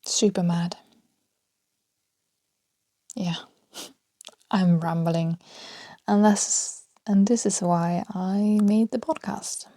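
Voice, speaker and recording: A woman with a Danish accent rambling freely on her solo podcast, talking softly and often dropping to a half-whisper, with small laughs between thoughts. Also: medium pitch, no room sound, studio quality. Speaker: podcast host.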